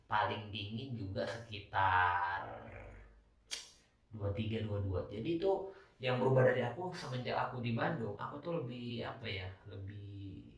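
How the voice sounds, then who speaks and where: Young male podcaster, softened Medan accent, lowish voice, rambling casual monologue, very echoey small room. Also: moderate pace. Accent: Medan Indonesian, softened. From Medan, North Sumatra, Indonesia. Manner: rambling, many fillers and self-corrections. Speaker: podcast host. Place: small rented room, indoors.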